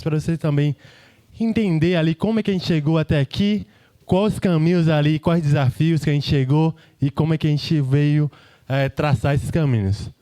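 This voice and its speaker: Higher-voiced Brazilian man presenting informally. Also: mellow, clean tone, dry recording. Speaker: engineering team speaker. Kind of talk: informal tech meetup presentation.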